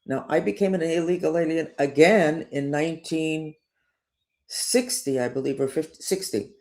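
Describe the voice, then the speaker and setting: A woman's voice: sits low, normal speed. An older woman, a spiritual teacher, talking in a digressive, emphatic personal monologue. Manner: digressive, emphatic, lively up-and-down delivery.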